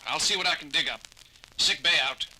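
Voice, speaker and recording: A man's voice: fairly high pitch, fairly quick, pushed and bright, slight background noise, rough recording. An actor playing a brusque, busy male starship doctor over an intercom in a 1970s audio drama. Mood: harried.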